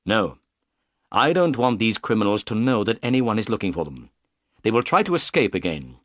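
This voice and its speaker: A man's voice: lowish voice, big pitch swings, normal speed, a bit bright, no echo, studio quality. A man voicing a composed, courteous, shrewd detective in an audiobook story, polite and confident. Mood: decisive, confident.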